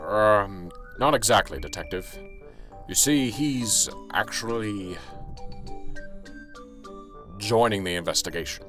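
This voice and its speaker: Radio-play sergeant, played by a man: earnest, firm, exasperated boss with animated intonation and faint music. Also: on the low side, slow pace, long pauses, heavily acted. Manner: firm, explanatory, reproving. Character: earnest, exasperated male police sergeant in charge. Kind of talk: amateur radio drama acting.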